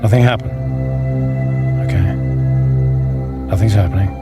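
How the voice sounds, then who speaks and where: An actor playing an intense, emotional condemned man in a radio drama, halting and repeating his words. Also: lowish voice, fairly even intonation, over loud music, close to an everyday voice. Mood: anxious, stunned.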